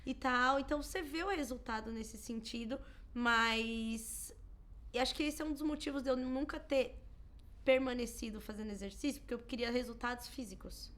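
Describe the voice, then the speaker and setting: A Brazilian woman in her thirties chats casually as a podcast co-host. Her voice sits a little high, and her storytelling is animated, with quoted lines acted out. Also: normal speed. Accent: Brazilian Portuguese. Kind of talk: casual chat.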